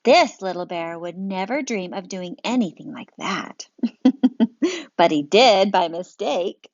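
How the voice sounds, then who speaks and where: A woman's voice: mid-range voice, slow pace, no room sound. A woman reading a picture book aloud as a teacher, engaging listeners with questions. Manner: lively, engaging, asks questions. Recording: dry, dull lo-fi sound.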